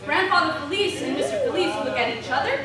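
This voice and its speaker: Woman reading out script directions, far from mic, boomy room with crowd behind. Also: sing-song, quick pace. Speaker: stage directions reader. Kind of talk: scripted narration at live reading. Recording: echoey hall from far off, crowd.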